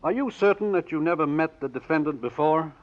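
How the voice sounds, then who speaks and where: A man acts a courteous defense lawyer in a 1940s radio courtroom play. He probes politely in a measured, slightly high voice, heard through a muffled old broadcast recording. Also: animated, close to an everyday voice. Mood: polite, probing. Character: polite, probing male defense attorney.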